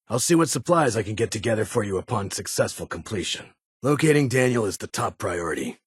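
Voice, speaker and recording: An actor playing a stern, weary security chief in a video game, with a husky voice and a formal, clipped, authoritative manner. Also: male voice, lowish voice, no room sound, clean studio sound, exaggerated voice. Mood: solemn, firm. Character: stern, weary male vault security chief, guarded but honorable.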